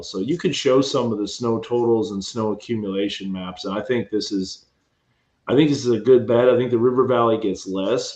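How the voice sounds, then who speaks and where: Hushed low-voiced man at home. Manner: quiet, hushed, rambling forecast talk. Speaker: meteorologist host. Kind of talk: late-night weather livestream. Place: upstairs room at home at midnight. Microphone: cheap home mic. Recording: roomy, dull home mic.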